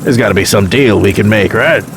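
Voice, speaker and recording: A man acting as a seasoned-sounding, cool-headed trucker in an audio drama. His voice sits low, with folksy, wry delivery. Engine noise is clear behind him in the cab. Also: brisk, close to an everyday voice. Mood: affable bargaining. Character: unflappable, wry male long-haul tanker trucker. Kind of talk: naturalistic audio drama dialogue. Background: vehicle engine.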